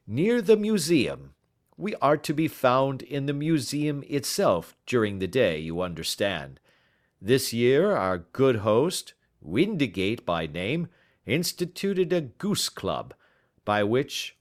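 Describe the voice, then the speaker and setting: A man voicing a learned, down-on-his-luck older gentleman in an audiobook, courteous and slightly pompous, choosing words with slow staccato care. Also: mid-range voice, voice pushed up. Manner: slow staccato, careful word choice, pompous. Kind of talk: audiobook character acting.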